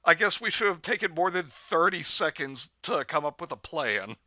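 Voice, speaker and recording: Very bright-voiced man, jokey chat. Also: a little high, sing-song, moderate pace, dry recording, highs cut off. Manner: mocking, jokey. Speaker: podcast co-host. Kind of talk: casual chat.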